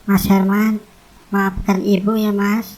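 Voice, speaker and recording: A woman playing a devoted, soft-hearted young woman in love in a cartoon drama, speaking in an earnest, pleading way. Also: mid-range voice, little pitch movement, slow pace, lightly acted, low-quality recording. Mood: tender, pleading.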